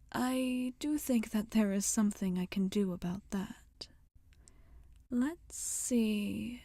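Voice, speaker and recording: A woman playing a gentle, caring witch healer in an ASMR roleplay, speaking softly and often whispering, with soothing, formal phrasing. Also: a little high, dry recording, clean studio sound, heavily acted. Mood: pensive, soothing. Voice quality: soft, breathy, often whispering.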